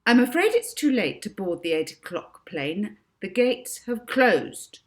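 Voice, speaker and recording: A woman voicing a crisp, cross and officious female airport ticket clerk in a storybook reading. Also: mid-range voice, very animated, a little slow, pitched above the performer's own voice.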